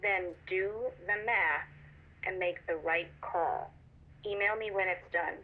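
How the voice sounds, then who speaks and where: A woman playing a cold, menacing corporate executive in a video game, stern and measured over a telephone. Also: on the low side, steady tone. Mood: cold, pressuring. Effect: telephone filter. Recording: muffled, noisy phone recording.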